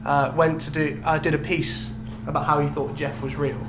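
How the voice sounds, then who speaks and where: A man's voice: fairly high pitch, flat delivery, moderate pace. A man co-hosting a podcast, telling a story in casual chat with an amused, explanatory manner. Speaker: podcast co-host. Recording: clearly noisy recording with hum.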